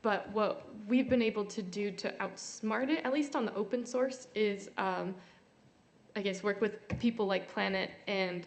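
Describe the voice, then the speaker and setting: A woman's voice: mid-range voice, steady tone, normal speed. A woman answering a question on a conference panel in a casual, hesitant way with many fillers.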